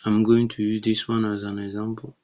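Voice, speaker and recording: A man's voice: on the low side, completely flat delivery, fairly quick, darkish tone, lo-fi sound. A man narrating a step-by-step app tutorial in plain, short instructions.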